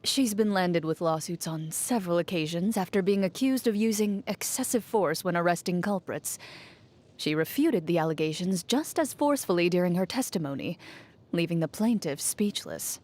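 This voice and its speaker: A woman playing a composed, dutiful young female champion duelist in a video game, speaking in a formal, measured, reserved way. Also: fairly low pitch, lively intonation, darkish tone, lightly acted. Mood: composed, admiring. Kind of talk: video game character voice lines, reflective monologue. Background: music under the voice.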